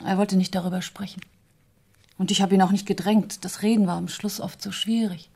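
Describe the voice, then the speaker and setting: Reserved, quietly grieving woman. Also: medium pitch, steady tone, fairly quick, creaky, breathy edge. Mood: subdued. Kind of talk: radio drama dialogue.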